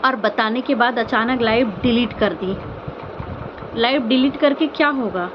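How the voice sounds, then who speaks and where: High-voiced woman vlogger over muffled, noisy audio. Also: a little slow. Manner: earnest, pleading, repeats phrases and rhetorical questions. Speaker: vlogger commenting on online family drama. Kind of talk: informal opinion commentary addressed to viewers.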